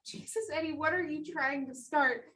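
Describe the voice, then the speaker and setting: A woman's voice: high voice, normal speed, sharp tone, far from the mic. An actress playing an anxious young woman who soothes and presses her brother while tending a hurt dog. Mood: urgent, soothing. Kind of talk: stage play acting.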